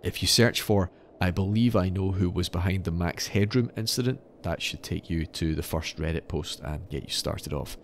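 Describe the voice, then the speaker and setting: A man hosting a podcast, telling stories in a semi-scripted, articulate and measured explanatory way. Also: deep voice, a little hiss or hum. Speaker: podcast host. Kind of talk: semi-scripted storytelling narration.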